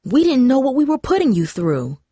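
A woman's voice: high voice, very animated, a little fast, dark tone, breathy edge, no echo, studio quality, pitched above the performer's own voice, exaggerated voice. A woman playing a loving mother in a storybook, soothing and earnest. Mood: tender, apologetic. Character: loving, reassuring mother of teenagers.

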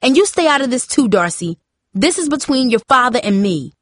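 Embittered mother, played by a female narrator: furious, accusatory, loud, for an audiobook drama. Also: fairly high pitch, sing-song, brisk, no room sound, clean studio sound, voice pushed up. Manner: yelling, accusatory.

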